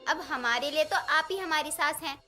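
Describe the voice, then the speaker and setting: A woman voicing a kind young tenant daughter-in-law in a storybook tale, over soft background music. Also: high voice, moderate pace. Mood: earnest.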